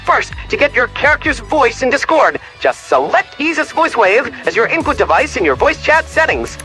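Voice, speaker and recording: A man's voice: extremely high pitch, big pitch swings, normal speed, sharp tone, soft background music, heavily acted. A man using an AI voice changer to voice a gleeful, devilishly polite radio demon host with showy, theatrical patter. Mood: gleeful showmanship. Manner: showy, theatrical announcer delivery. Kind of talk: old-time radio showman patter.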